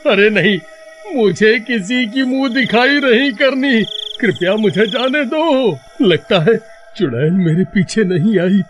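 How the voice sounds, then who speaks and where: A man's voice: sits high, slow pace, music in the background. A man playing a panicky, cowardly villager in a cartoon horror story, stammering, whimpering and pleading melodramatically. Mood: pleading, terrified, then relieved. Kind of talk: animated horror-comedy story acting.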